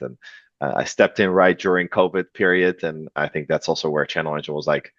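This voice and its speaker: Man with a Dutch accent, very low voice, dull lo-fi dry sound, loose talk with fillers. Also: creaky, no room sound. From Netherlands. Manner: relaxed, many fillers and restarts. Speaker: guest, revenue executive. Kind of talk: podcast interview.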